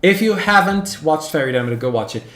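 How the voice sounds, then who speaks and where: A man whose first language is Portuguese, hosting a casual livestream and chatting with viewers in an animated, gushing way, squealing greetings. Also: a little high, fast. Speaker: livestream host. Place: home room. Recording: echoey room with faint background music.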